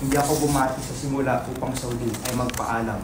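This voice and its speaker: Guy acting unenthusiastic young writer in a play reading, normal pitch, roomy echo, noisy bg. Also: flat delivery, fast, lightly acted. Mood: reciting. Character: Ambet, reluctant, unenthusiastic young male writer. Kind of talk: dramatic reading of a stage play. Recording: echoey room with clear background noise.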